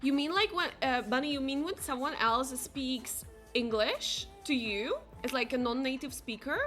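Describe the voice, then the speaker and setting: Slovak girl streaming, bright higher voice, says "like" a lot, chatting to chat, quiet music behind. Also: moderate pace. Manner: chatty, heavy use of "like", reads viewers' chat aloud. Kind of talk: casual chat while playing a game. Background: faint game music.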